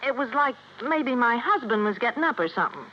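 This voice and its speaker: A woman's voice: a little high, lively intonation, brisk, natural voice. A woman with an American accent playing a brassy young superintendent's wife in an old radio drama, scornful and colloquial. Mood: recounting, guarded. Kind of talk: golden-age American radio drama acting. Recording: muffled old radio recording, faint hiss.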